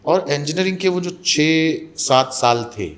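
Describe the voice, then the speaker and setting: A man's voice: on the high side, measured pace, a bit bright. A man from Mumbai, an actor and voice-over artist, telling stories in a casual interview and mixing Hindi with English. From Mumbai, India.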